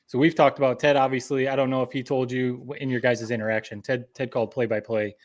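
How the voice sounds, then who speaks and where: A man's voice: mid-range voice, flat delivery, quick pace. Male podcast co-host, American accent, mid-range voice, casual interview chat with roundabout, conversational phrasing. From mid-Michigan, United States.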